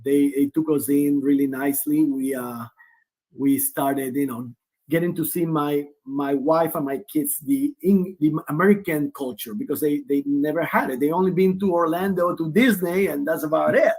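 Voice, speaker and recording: A man's voice: on the high side, normal speed, darkish tone, poor audio. A man in his forties, a guest on a remote video call who talks at length with many restarts. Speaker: guest, player's father. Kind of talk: casual interview.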